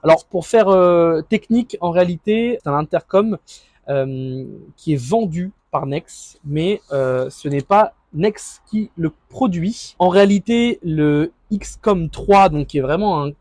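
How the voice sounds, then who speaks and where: A man's voice: fairly high pitch, lively intonation, unhurried, a little mellow, no room sound. A man speaking informally as a vlogger, thinking aloud through an improvised unboxing and installation commentary. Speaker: motorcycle vlogger.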